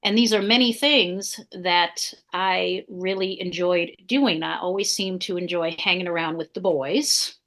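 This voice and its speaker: Woman playing a spirited 1800s Southern spy, tomboyish and playful. Lowish, storytelling in the first person. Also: measured pace, few pauses, fairly bright tone. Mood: lively, playful. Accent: American Southern. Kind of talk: living history portrayal in an interview.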